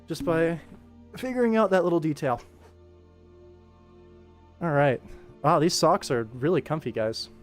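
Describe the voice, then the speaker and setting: A man's voice: fairly high pitch, lively intonation, a little slow, long pauses, soft background music. A man hosting a casual animation work stream, talking informally to viewers as he narrates and explains what he is doing. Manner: informal, addresses viewers, narrates actions with sound-effect words. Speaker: animator and livestream host.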